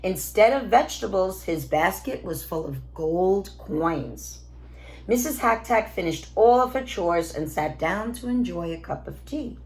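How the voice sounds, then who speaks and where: A woman narrates a children's storybook like a teacher reading to pupils. Her delivery is expressive and slow, with lots of rise and fall in pitch.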